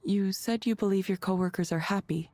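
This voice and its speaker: An actress playing a calm, measured counselor in a visual novel game, asking gently probing questions in an even, neutral manner. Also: female voice, mid-range voice, no echo, natural voice.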